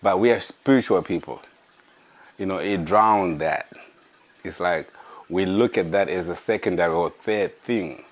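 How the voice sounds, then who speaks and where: Podcast host, hoarse, very low voice, slow and searching. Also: male voice. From Africa. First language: an African language. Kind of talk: casual monologue.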